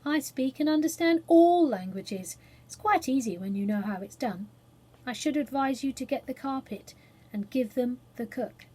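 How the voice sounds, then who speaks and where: A woman voicing a vain, self-satisfied phoenix in a children's story, soft-voiced and pompous. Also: high-pitched, animated, moderate pace, husky. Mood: calm, knowing.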